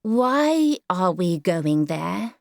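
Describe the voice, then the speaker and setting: Female reader plays small English boy: whiny, piercing, drawn-out questions, tired and stubborn, storybook dialogue, dry sound. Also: lowish voice, big pitch swings, vocal fry, a little mellow, no echo, exaggerated voice. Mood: tired, persistent. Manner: whiny, insistent, slow. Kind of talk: dramatised audiobook character dialogue.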